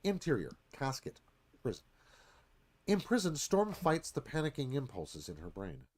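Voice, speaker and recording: A man's voice: mid-range voice, huge pitch swings, normal speed, darkish tone. Man narrating a radio theatre screenplay. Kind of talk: scripted narration, screenplay stage directions.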